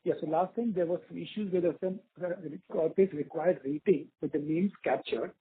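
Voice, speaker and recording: Exec guy on conference call, really husky n rough, higherish voice, phone audio. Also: a little fast. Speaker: bank executive. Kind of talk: explanatory answer on earnings call. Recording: muffled narrow phone line.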